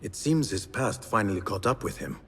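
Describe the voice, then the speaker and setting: An actor playing a solemn, resolute assassin in a video game, controlled and earnest. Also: male voice, fairly low pitch, animated, moderate pace, slightly rough, exaggerated voice. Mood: controlled, grave. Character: solemn, resolute male assassin of a secret order. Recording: loud music behind the voice.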